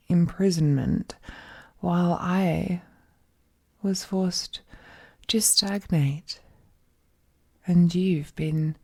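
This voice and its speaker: A woman's voice: lowish voice, dark tone, full character voice. A woman playing an ancient, immensely powerful female villain, possessive, wounded and regal, in a brooding, theatrical romantic audio roleplay with whispered phrases. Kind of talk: dramatic romantic audio roleplay, addressed to the listener.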